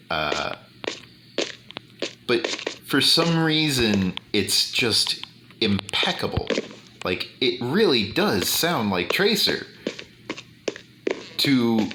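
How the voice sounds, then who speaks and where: A man with a deep bass voice talking slowly and deliberately over gameplay, with many fillers. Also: noisy background. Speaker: gaming YouTuber. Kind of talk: casual commentary over gameplay.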